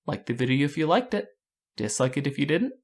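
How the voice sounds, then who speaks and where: A woman's voice: sits low, big pitch swings, fairly quick, breathy edge. A woman narrating scripted fan analysis as a video host, with a wry, rhetorical delivery.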